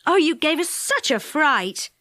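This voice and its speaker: A woman's voice: high-pitched, sing-song, a little slow, sharp tone, no room sound, voice pushed up, exaggerated voice. A woman doing a relieved young girl.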